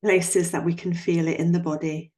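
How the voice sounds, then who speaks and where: A woman guiding a yoga nidra relaxation in a soothing, gently instructing manner, leaving long pauses between phrases. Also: fairly low pitch, level tone. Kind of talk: guided relaxation meditation.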